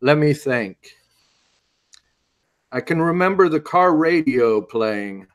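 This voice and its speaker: Man playing a high-pitched wry pulp hero. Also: expressive, slow. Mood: tense recollection. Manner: dramatic, hardboiled storytelling. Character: wry male masked hero recalling his alien abduction. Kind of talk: audio drama narration.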